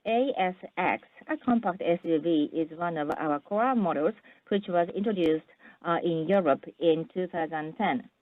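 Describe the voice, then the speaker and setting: A woman with a fairly low voice presents scripted corporate material over a narrow, phone-like line. The sound is dry and close, without room echo. She reads formally and at a measured pace, with the occasional stumble. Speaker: corporate earnings call presenter.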